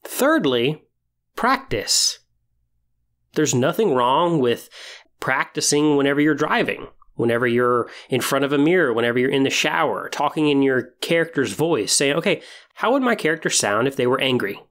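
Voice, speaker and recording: A male podcast host with a medium-pitched voice talks straight to his listeners. His delivery is encouraging and semi-scripted, like someone walking an audience through advice. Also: animated, moderate pace, fairly bright tone. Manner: encouraging, addresses listeners directly. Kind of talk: semi-scripted podcast talk on tabletop roleplaying.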